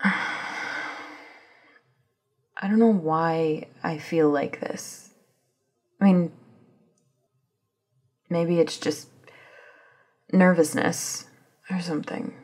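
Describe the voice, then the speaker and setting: A woman playing an anxious, self-doubting scientist in an audio drama, breathy and halting as she searches for words. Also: on the low side, fairly even intonation, a little mellow. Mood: anxious, hesitant. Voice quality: breathy, husky. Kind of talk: ASMR audio drama acting.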